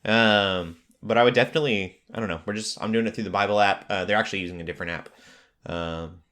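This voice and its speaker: Low-voiced, low-key male podcast host. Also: steady tone, fast talker, a bit bright. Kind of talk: casual chat.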